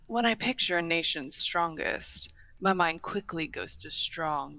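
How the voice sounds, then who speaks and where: A woman's voice: sits low, a bit bright, no echo, full character voice. A woman playing a courteous, refined half-dragon hostess in a fantasy roleplay, speaking formally with dry teasing. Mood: politely teasing. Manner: genteel, formal, unhurried wording with dry teasing. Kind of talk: fantasy roleplay audio acting, addressing the listener.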